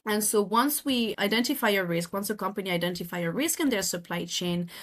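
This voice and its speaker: A woman's voice: mid-range voice, animated, a little fast, a bit bright. A woman from France with a French accent, in her thirties, earnestly explaining her work as a podcast guest, often restarting her phrases. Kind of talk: podcast interview.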